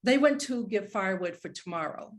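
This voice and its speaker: Retired mother, mid-sixties, played by a woman: naturalistic, middle-pitched, fond but guilt-tripping, table-read drama. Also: lively intonation, brisk, close to an everyday voice. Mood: conversational. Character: retired professor mother, sixty-five, guilt-tripping, warm but wounded. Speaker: actor in a script read. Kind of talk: live screenplay table read.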